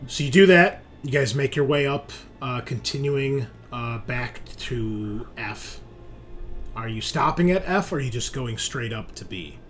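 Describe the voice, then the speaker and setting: A man narrating a tabletop roleplaying game as game master, improvising with pauses. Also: mid-range voice, expressive, a bit bright. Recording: music playing under the voice. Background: music.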